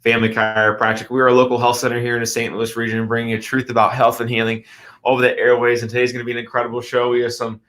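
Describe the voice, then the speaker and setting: A man's voice: mid-range voice, monotone, very fast, fairly bright tone. A middle-aged man, hosting a health radio show as a chiropractor, talking earnestly and emphatically with frequent restarts and rhetorical questions. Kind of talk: health talk radio monologue.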